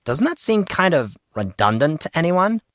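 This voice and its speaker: A man's voice: on the high side, big pitch swings, normal speed, fairly bright tone, no echo, studio quality, pitched above the performer's own voice. A man voicing an irritable, sneering teenage rich girl with a snappy, dismissive manner in an audio story. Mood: grumbling.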